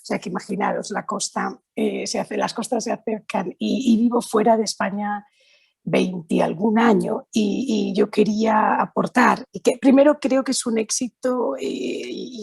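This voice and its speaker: A middle-aged woman with a Spanish accent from Spain speaks informally to a conference. Her voice is heavily fried and husky, captured with a dry, close sound. She searches for her wording, often restarting and correcting herself as she goes. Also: mid-range voice, moderate pace, a little mellow, dry recording. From Valencia, Spain. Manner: hesitant, searching phrasing with self-corrections. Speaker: human rights lawyer, panel speaker.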